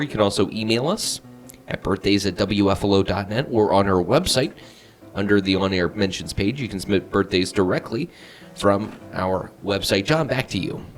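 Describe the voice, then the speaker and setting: A man co-hosting a casual local radio show from a studio, hesitant and stumbling a little as he goes. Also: on the low side. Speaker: radio co-host. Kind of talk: casual radio chat and announcements. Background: music bed.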